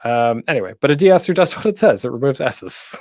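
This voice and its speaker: A man's voice: mid-range voice, sing-song, fairly quick, darkish tone, dry recording, studio quality. A man presenting a tutorial in a casual, chatty way with light humor. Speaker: panel host giving a podcasting tutorial.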